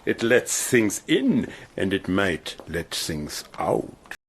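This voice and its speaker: An actor playing a cruel, mocking German army colonel who gloats with slow, theatrical menace. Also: male voice, sits low, big pitch swings, heavily acted. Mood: menacing. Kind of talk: radio play acting.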